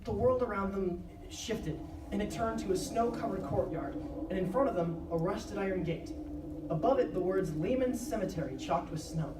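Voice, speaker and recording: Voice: medium pitch, moderate pace. Echoey live story narrator. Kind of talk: scripted dramatic narration, live. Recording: echoey live stage, distant, clear noise.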